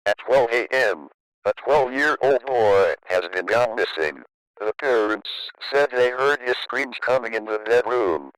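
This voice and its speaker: A man reading a scripted emergency broadcast as an alert announcer, in a formal, measured way. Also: medium pitch, expressive, fairly bright tone, clear, resonant tone, no echo, low-quality recording.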